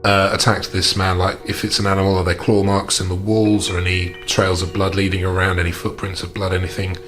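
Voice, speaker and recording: Man, fairly low pitch, roleplay gamer, deliberate and methodical, faint background music. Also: flat delivery. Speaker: player in a tabletop game. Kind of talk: tabletop roleplay chat.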